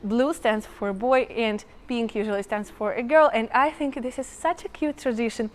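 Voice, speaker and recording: Chatty high-voiced woman vlogging outdoors. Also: normal speed. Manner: chatty, enthusiastic, addresses viewers as guys. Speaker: travel vlogger. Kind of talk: casual travel vlog to camera. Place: outdoors in a small town.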